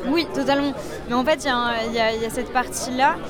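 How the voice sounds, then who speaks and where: High-voiced young woman amid a crowd. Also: fast talker. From Sète, south of France. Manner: chatty, hesitant with frequent fillers. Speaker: musician and DJ, guest. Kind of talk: casual interview answers. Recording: noisy crowd in background.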